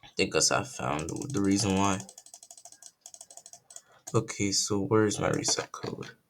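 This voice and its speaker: A young man, croaky from just waking up, talks through a casual coding tutorial at his computer, thinking aloud and correcting himself as he goes. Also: sits low, takes it slowly, background noise.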